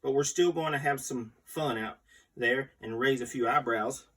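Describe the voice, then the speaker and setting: A man's voice: mid-range voice, moderate pace, pushed and bright, slightly rough. A man reading a magazine article aloud as the host, in a scripted storytelling read. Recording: distant microphone.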